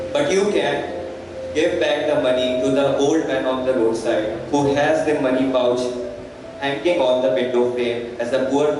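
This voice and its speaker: A man's voice: mid-range voice, background noise, close to an everyday voice. A young man playing a poor, humbled wandering peddler and thief in an amateur stage play. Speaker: student actor in a school play. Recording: echoey hall, distant mic, music behind.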